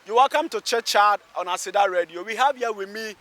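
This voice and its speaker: A man with a Ghanaian accent working as a radio reporter, interviewing on location in a halting way, restarting phrases. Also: high voice, pushed and bright. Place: outdoors by a busy road underpass.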